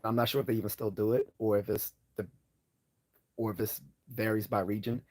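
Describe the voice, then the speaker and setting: A man hosting a casual live Q&A, hoarse from losing his voice, rambling with many fillers and restarts as he answers chat questions. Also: fairly low pitch, fairly even intonation, brisk, darkish tone.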